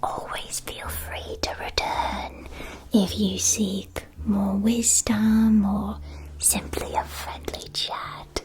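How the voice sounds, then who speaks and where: Lady doing a kind mystical witch shopkeeper, asmr roleplay, all whispered and really slow. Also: medium pitch, level tone, darkish tone, husky. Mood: kindly, gentle. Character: kindly witch bookshop keeper, welcoming, gently mystical.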